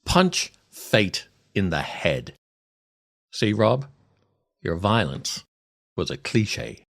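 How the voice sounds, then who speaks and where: A man, reading a scripted comic monologue in a wry, theatrical way with deliberate pauses. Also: fairly low pitch, big pitch swings, fairly bright tone. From Ireland. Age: 60s. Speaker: podcast host and storyteller.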